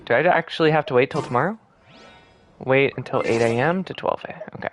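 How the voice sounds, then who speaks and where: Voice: medium pitch, fast talker. A game streamer giving casual, chatty gameplay commentary. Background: game music.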